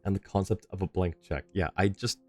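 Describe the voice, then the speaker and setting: Male game streamer, deep register, casual and analytical, thinking out loud to chat with soft music behind. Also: steady tone, brisk. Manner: musing, thinking aloud, analytical. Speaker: game livestream host. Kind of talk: casual live-stream commentary, chatting with viewers.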